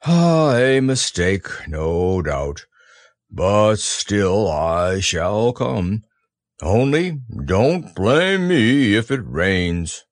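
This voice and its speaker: A man reading a storybook aloud, voicing a gloomy, self-pitying old male donkey in a slow, mournful drawl. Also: on the low side, sing-song, dry recording, studio quality, exaggerated voice.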